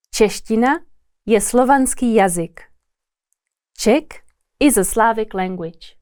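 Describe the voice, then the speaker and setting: Slow, deliberate woman teaching vocabulary. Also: on the high side, a little mellow, smooth, no room sound, studio quality. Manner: repeats each word slowly and deliberately, pausing between repetitions. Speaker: language teacher. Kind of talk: slow scripted language lesson.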